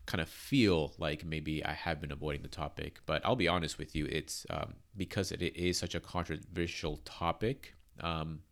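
A man's voice: very deep voice, fairly even intonation, normal speed, vocal fry, few pauses, fairly bright tone. A man speaking as a pastor on his church's podcast, congested from allergies, talking in an earnest, careful way with many fillers and restarts.

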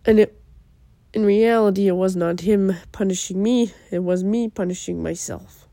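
Young woman w/ a stuffy cold, mellow voice, kinda halting and earnest, dry sound. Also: medium pitch, no echo. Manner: earnest, halting, with fillers and restarts. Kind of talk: personal reflection and poetry reading.

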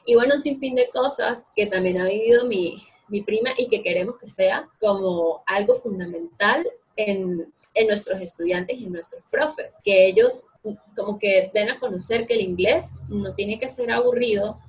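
A woman's voice: medium pitch, normal speed, breathy edge, low-quality recording. A young woman, talking about her academy in an interview, enthusiastic and full of fillers. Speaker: guest, co-founder of an online English academy. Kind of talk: conversational interview.

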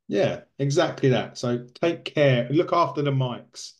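A man's voice: medium pitch, animated, unhurried. A man hosting an interview podcast, friendly and joking with his guest. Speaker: podcast host. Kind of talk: casual interview chat.

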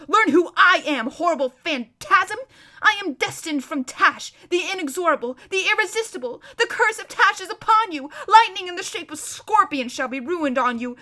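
Female reader as raging foreign prince, storybook villain. Shrill, forceful, pompous, big theatrical shrieks. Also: sing-song, moderate pace, exaggerated voice. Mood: shrieking fury. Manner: shrieking, grandiose threats. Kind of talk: storybook read-aloud.

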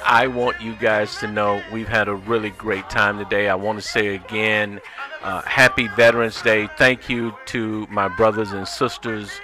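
A man's voice: on the low side, fairly even intonation, moderate pace, over music. A man hosting live talk radio in a conversational, folksy way with emphatic phrasing. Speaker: radio show host.

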